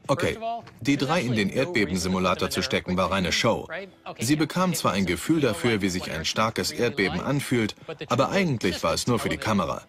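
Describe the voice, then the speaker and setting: A man's voice: on the low side, lively intonation, a little fast, a crowd in the background, lightly acted. A man giving wry, conversational commentary in a dubbed documentary, with a teasing manner.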